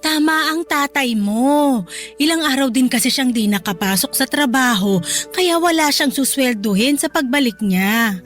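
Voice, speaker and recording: A woman playing a placating mother of grown children in a radio drama, gently pleading with a Filipino accent. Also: high-pitched, lively intonation, moderate pace, faint music behind.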